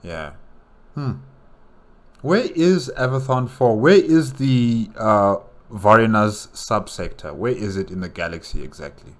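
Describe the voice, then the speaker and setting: A man hosting a reaction video, giving casual commentary as he thinks aloud, hesitantly musing and asking himself questions. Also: lowish voice.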